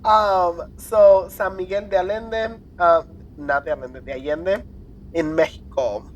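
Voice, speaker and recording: A woman's voice: fairly low pitch, measured pace, a little hiss or hum, low-quality recording. Woman, podcast co-host, casual chat, teasing, quiz-style, laughs often.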